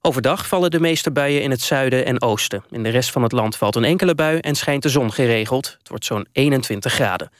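A man's voice: mid-range voice, brisk, hardly any pauses, dry recording, studio quality. A man with a Netherlands Dutch accent reading a formal, scripted news bulletin as a radio newsreader.

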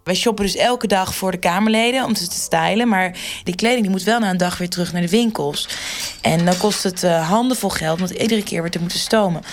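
An actress playing a chatty, exasperated parliamentary stylist in a mock radio report, gossipy and informal. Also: female voice, fairly low pitch, brisk, close to an everyday voice. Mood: chatty complaint.

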